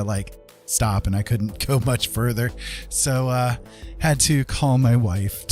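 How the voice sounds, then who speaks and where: A man's voice: medium pitch, darkish tone. Casual, rambling man livestreaming over music. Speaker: live art streamer.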